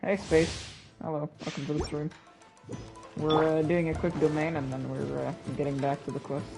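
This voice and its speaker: A man's voice: on the high side, level tone, moderate pace, creaky. A young man chatting casually as he streams live gameplay. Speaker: game streamer. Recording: game music behind the voice.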